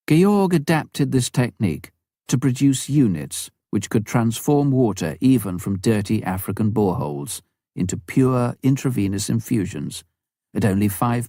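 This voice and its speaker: A man's voice: lowish voice, warm tone, dry recording, clean studio sound. A man narrating a memoir from a script, as an audiobook reader.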